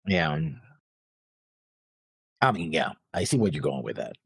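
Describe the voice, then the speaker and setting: A man's voice: extremely low pitch, huge pitch swings, measured pace, vocal fry, fairly bright tone, breathy edge, dry recording. A man co-hosting a reaction show in casual, laid-back talk, often chiming in briefly to agree. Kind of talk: casual discussion.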